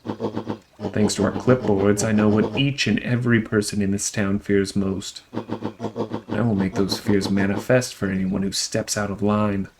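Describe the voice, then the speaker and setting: Pompous old small-town tyrant for a game, played by a man; deliberate, gloating, over clear noise. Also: fairly low pitch, flat delivery. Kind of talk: video game character voice acting.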